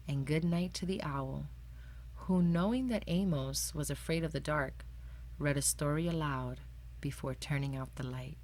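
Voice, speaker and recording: A woman hosting a children's read-aloud, narrating a picture book in a calm, clear, measured way. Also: sits low, poor audio. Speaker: storyteller and channel host.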